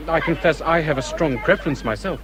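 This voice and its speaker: An actor playing a bookish, melancholy English naval captain in a period radio drama, formal, stiff and earnest. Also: male voice, a little high, brisk, a crowd in the background, lightly acted, low-quality recording. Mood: earnest, solemn. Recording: clear outdoor ambient noise behind.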